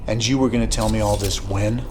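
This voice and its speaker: An actor playing a weary, wry rebel commander in a video game, dry and plain-spoken with an American accent. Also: male voice, on the low side, steady tone, fairly quick, background noise, natural voice. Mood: suspicious, firm. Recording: music under the voice.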